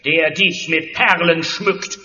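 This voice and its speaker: High-pitched, declaiming lovesick stage hero. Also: male voice, steady tone, slow pace, sharp tone, heavily acted. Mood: jealous, indignant. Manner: theatrical, declamatory. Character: lovesick stage actor declaiming pompous rhymed love verse. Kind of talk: German audio drama acting. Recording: dull sound in a long-reverberant echoing hall.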